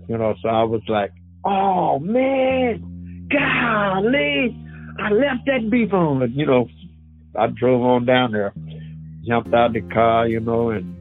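A man, a barbecue pitmaster telling his own story in a folksy, animated way. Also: medium pitch. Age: 70s. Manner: folksy, animated, loose Southern grammar. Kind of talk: personal storytelling. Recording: phone-like narrow sound with faint music.

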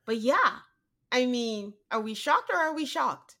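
A woman co-hosting a podcast, nasal and congested from a cold, chatting casually with quick asides and laughs. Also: a little high, expressive, bright, forceful tone. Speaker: podcast co-host. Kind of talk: casual chat.

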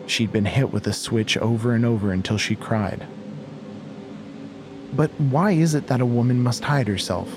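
A man with a medium-pitched voice narrates a horror audio drama. His delivery is measured, literary and intense, over faint background ambience. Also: background noise. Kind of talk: scripted dramatic horror storytelling.

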